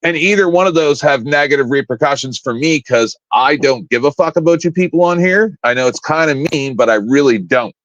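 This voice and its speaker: A man in his fifties talks in a blunt, profane, combative rant with a fairly high voice. The stream audio is dull and lo-fi, with a dry, close sound. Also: fairly quick, hardly any pauses, a bit bright, no room sound. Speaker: panel guest on a live stream. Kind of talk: casual live-stream rant.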